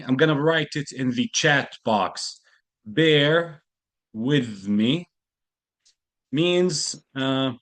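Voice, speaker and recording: A man's voice: mid-range voice, fairly bright tone. A man teaching an online English class, patiently repeating key phrases and explaining idioms, speaking into a computer mic. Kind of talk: online lesson, explaining slowly. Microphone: computer mic on a video call, camera off.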